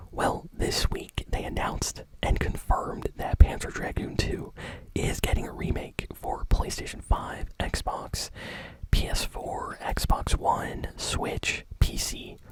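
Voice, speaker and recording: Whispering woman, ASMR gaming news host. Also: rough recording. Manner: soft, unvoiced whispering throughout. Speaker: video game news host.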